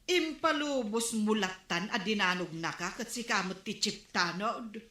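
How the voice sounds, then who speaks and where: A woman's voice: medium pitch, a little slow, pushed and bright, roomy sound. An actress playing a stern mother who scolds and nags in a comedic drama. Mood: scolding. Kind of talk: comedic radio drama acting.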